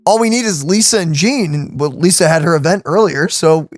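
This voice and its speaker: A woman's voice: fairly low pitch, lively intonation, a little fast, no echo. A woman streaming a game, chatting and reacting casually as she plays. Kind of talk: casual playthrough chat and reactions. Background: soft game music.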